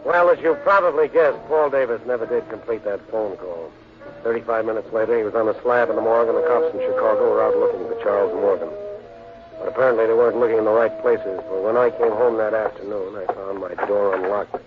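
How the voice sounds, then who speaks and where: An American actor playing a shrewd, tough, skeptical Chicago lawyer-detective in 1940s radio drama style. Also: male voice, lowish voice, fast, music in the background, close to an everyday voice. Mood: wry narrating. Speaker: lead actor and narrator of a radio crime drama. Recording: dull, noisy 1940s radio recording.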